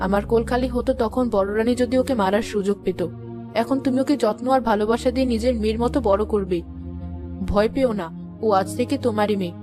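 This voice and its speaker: A woman plays a gentle young queen in a cartoon fairy tale, soothing and resolute. The tone is measured, with music behind it. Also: on the high side, pitched below the performer's own voice, natural voice. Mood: tender resolve.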